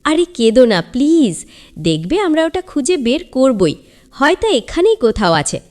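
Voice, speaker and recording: A woman voicing a cartoon mother who is soothing, gently coaxing and firm. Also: sits high, very animated, moderate pace. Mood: comforting.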